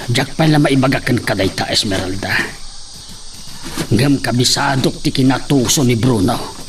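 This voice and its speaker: A man's voice: medium pitch, moderate pace, natural voice. A man playing an earnest, protective father and leader in an audio drama, speaking firmly. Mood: troubled. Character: earnest, protective father leading his companions. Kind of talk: Ilocano radio-style drama acting. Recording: noisy with chirping insects.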